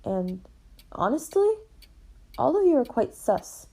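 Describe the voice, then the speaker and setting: A woman's voice: a little high, sing-song, slow pace, mellow, heavily acted. A woman playing a level-headed, matter-of-fact investigator who keeps firm order in a puppet-show murder mystery. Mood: measured.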